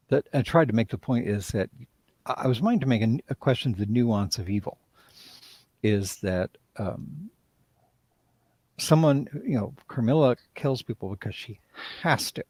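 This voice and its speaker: A man's voice: on the low side, a little slow, long pauses, darkish tone, husky, no echo. A man, a writer and director, speaking reflectively in a live-stream interview and often trailing off mid-thought.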